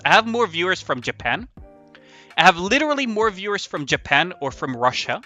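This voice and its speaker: A man chatting casually on a game livestream, telling stories in a rambling, animated way with casual swearing. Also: a little high. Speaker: live streamer. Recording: music behind the voice.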